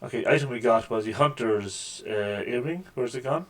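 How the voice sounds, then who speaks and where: Deep-voiced man joking through a let's-play. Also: normal speed. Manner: wry, joking asides over gameplay. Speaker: gaming channel host. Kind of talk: casual let's-play commentary. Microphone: desk microphone with mixer.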